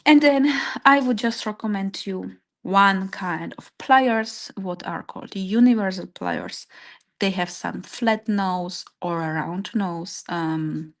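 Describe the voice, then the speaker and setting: Slow-talking Czech woman teaching crafts. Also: medium pitch. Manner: searches for English words, hesitant phrasing. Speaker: craft workshop instructor. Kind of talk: informal live step-by-step tutorial.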